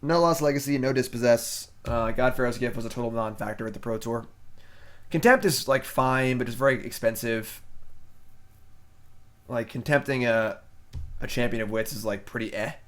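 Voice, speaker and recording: A man's voice: medium pitch, moderate pace, fairly bright tone. Offhand man thinking aloud mid-game. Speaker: streamer playing a card game. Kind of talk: casual live gameplay commentary.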